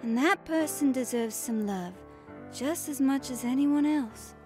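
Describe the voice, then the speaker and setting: A woman's voice: sits high, measured pace, a little mellow. A woman voicing a kind, wholesome young snow woman with a folksy twang, speaking earnestly in an audio drama. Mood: tender. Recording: faint music behind.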